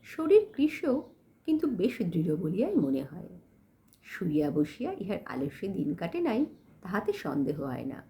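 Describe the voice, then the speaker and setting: A woman reading a literary story as an audiobook narrator, in an expressive, dramatic manner. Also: on the low side, moderate pace.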